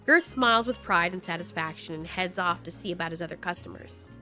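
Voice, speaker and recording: A woman's voice: fairly low pitch, a little fast, bright, forceful tone, faint music behind. A woman narrator reading a scripted story in an audio drama.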